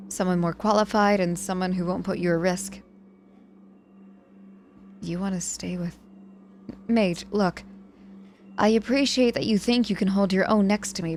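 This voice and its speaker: A woman playing a cocky but softening injured witch mentor in an ASMR audio roleplay, dryly teasing, apologetic and protective with hesitant pauses. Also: lowish voice, slight background noise, close to an everyday voice.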